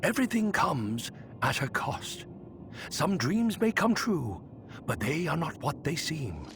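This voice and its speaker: An actor playing a sly shapeshifting deity posing as a wandering bard in a video game, speaking in a theatrical, cryptic, teasing manner. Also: male voice, fairly high pitch, very animated, measured pace, noisy background. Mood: knowing, cryptic. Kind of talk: scripted video game dialogue acting.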